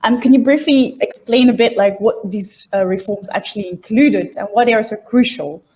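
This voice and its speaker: A woman's voice: medium pitch, normal speed, mellow. A woman interviewer asking polite, tentative questions with some fillers.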